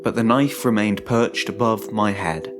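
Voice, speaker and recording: A man giving a dramatic, suspenseful first-person narration of a horror story, in a deliberate manner. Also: lowish voice. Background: music.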